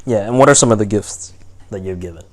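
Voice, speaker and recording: A man's voice: lowish voice, fast. A young man co-hosting a casual podcast, teasing his friend with short, dry replies. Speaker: podcast co-host. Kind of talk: casual chat.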